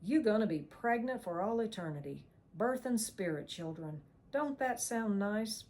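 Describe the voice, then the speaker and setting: A woman's voice: mid-range voice, lively intonation, moderate pace, lightly acted. A woman narrator voicing a cruel male hired killer who mocks and threatens in crude slang. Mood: mocking. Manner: mocking, crude backwoods slang, shouting threats.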